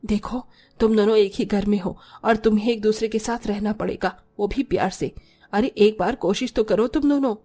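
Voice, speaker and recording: A woman's voice: mid-range voice, normal speed, mellow, lightly acted. A woman voicing a firm older mother-in-law in a cartoon, motherly and admonishing.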